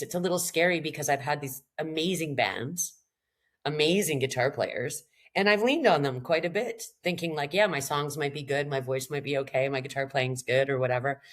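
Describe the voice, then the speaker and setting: A middle-aged Canadian singer-songwriter woman with a slightly raspy voice, talking candidly in a podcast interview, rambling and self-deprecating. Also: low-pitched. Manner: rambling, self-deprecating, frequent laughs and restarts.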